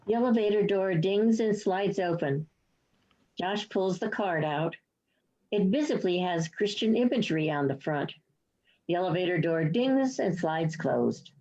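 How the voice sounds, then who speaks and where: A woman's voice: fairly low pitch, animated, a little slow, dark tone. A woman reading stage directions as scripted narration, on a dull lo-fi recording. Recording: dull, muffled lo-fi sound.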